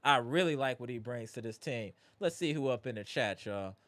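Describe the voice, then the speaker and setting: A man hosting a live postgame basketball reaction stream, animated and greeting viewers in the chat, his voice strained from yelling. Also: medium pitch, moderate pace, no echo. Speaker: livestream host.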